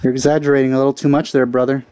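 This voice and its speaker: A man's voice: medium pitch, flat delivery, racing, mellow. A man doing casual, wry, sceptical commentary as he plays through a video game. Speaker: let's play host. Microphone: close dry mic. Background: faint game music.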